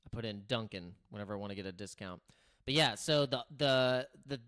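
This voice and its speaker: A man with a naturally raspy voice hosting a casual livestream, talking loosely with lots of restarts and filler words into a streaming microphone. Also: on the high side, a bit bright. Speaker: crypto livestream host. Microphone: streaming microphone through streaming software.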